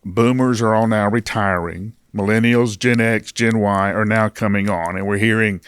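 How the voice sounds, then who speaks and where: A man's voice: lowish voice, fairly even intonation, normal speed, clear, resonant tone. A middle-aged man hosting a casual interview, asking questions and affirming his guest. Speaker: interview host, pastor.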